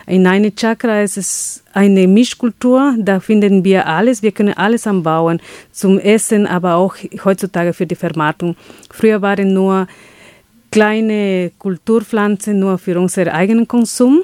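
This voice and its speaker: A woman's voice: medium pitch, steady tone, normal speed, darkish tone, dry recording. Woman with an Ecuadorian accent, dry. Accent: Ecuadorian Spanish. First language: Quechua. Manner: searching for words, frequent restarts and fillers. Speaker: guest, chocolate business founder. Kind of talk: interview answers.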